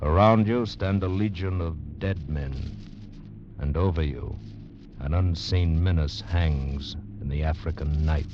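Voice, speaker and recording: A man announcing an adventure program in a theatrical, ominous 1940s radio drama style. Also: rumbling low. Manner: ominous, theatrical, deliberate phrasing. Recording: muffled 1940s radio recording with music.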